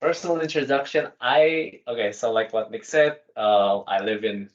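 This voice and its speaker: A man in his thirties from Indonesia, a podcast guest talking casually in an office meeting room, chatty with quick bursts and restarts. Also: medium pitch. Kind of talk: casual conversational interview. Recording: dull lo-fi sound.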